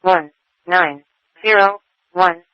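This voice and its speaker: Slow, high, staccato male alert announcer. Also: no room sound, dull audio. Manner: staccato, formulaic. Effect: two-way radio. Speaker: automated-style fire alerting announcer. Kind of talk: station alert, listing units and address word by word. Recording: band-limited emergency scanner radio.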